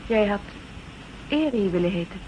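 An actress playing a polite, gentle female assistant to a spy chief in a 1960s radio drama. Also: mid-range voice, expressive, normal speed, lightly acted. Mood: gently probing. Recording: slightly noisy 1960s radio recording.

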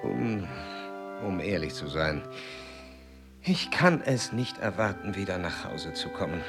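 An actor playing a pompous, meticulous aristocratic explorer, speaking formally and precisely in a German radio drama. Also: male voice, deep voice, lively intonation, measured pace, music in the background. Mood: frank, disdainful. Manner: formal, precise, self-important.

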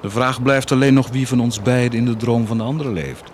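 An actor playing a cynical, taunting war commander in a radio drama, mocking and insistent, moving between murmurs and outbursts. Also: male voice, mid-range voice, brisk, a little hiss or hum, lightly acted. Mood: insistent, persuasive.

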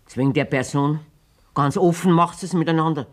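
An actress playing a humiliated, indignant farmer's wife in a German radio play, reproachful and wounded, with a Bavarian accent. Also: female voice, sits low, normal speed, darkish tone, lightly acted.

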